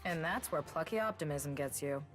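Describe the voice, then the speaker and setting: A woman acts out a scornful rebel bird-woman warrior from a video game. Her low voice delivers every line with dry, sarcastic deadpan, with music underneath. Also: normal speed, close to an everyday voice. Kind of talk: video game champion voice lines.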